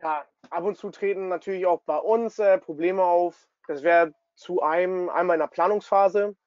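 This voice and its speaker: A man's voice: high-pitched, normal speed, darkish tone, dry recording. A young man answering questions on a video call, a bit hesitant with fillers. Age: young adult. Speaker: youth sports board member. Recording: dry lo-fi video call audio.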